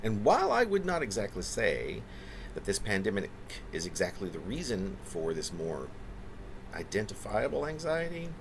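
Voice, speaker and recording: A man reading his own reflective, autobiographical poetry in halting, deliberate phrases that wind through long, wordy sentences. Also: fairly low pitch, wildly swooping pitch, creaky. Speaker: poet reading own work.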